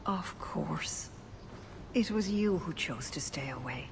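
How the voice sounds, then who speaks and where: Slow, solemn elven elder. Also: female voice, low voice, animated, vocal fry, heavily acted. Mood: grave. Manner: measured, formal. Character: solemn, dignified older elven female clan elder. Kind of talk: video game character dialogue.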